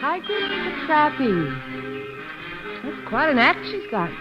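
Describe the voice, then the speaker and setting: An actress playing a private eye's loyal, sharp-witted woman companion in an old radio drama, questioning and dryly teasing. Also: high voice, big pitch swings, extremely slow, heavily acted. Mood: curious. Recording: muffled old radio recording, music behind.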